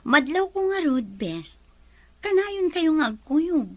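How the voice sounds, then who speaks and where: A woman plays a close best friend who teases gently. She talks slowly, and her pitch moves up and down freely. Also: very animated, exaggerated voice. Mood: teasing.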